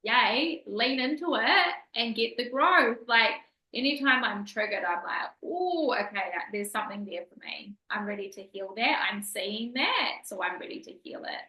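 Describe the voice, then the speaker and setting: A woman's voice: fairly high pitch, expressive, normal speed, few pauses, sharp tone. A woman podcast host and coach, talking earnestly and emphatically about spiritual growth. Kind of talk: casual interview chat. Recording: echoey room.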